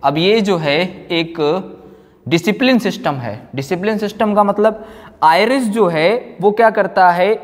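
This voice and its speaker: A man teacher lectures in a rather high voice, with long room reverb around it. He repeats phrases as if dictating notes. He often poses a question and answers it himself. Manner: repeats phrases slowly for note-taking, asks and answers own questions. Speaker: physics teacher.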